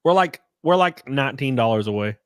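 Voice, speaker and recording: A man's voice: mid-range voice, expressive, brisk, smooth, no room sound, studio quality. A man hosting a livestream, thanking donors in a rambling, profane way, sniffly and a bit tipsy. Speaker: streamer host. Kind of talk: casual livestream chat, reading donations.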